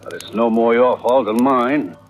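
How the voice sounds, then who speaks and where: An actor playing a calm, fatherly ship's captain, firm and reassuring, in old radio drama style. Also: medium pitch, unhurried, natural voice. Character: steady, fatherly older ship's captain, calm under pressure. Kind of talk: 1940s-50s radio drama acting. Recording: vintage radio recording, faint noise.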